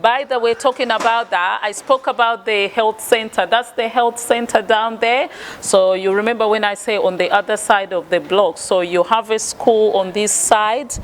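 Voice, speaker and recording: A woman's voice: medium pitch, fairly even intonation, normal speed, few pauses. Chatty Ugandan-born woman vlogging, faint background noise. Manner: chatty, addresses viewers directly, repeats herself. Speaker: video host. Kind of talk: casual vlog tour commentary to camera. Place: high up on a sixth-floor block of flats. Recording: noisy ambient vlog sound.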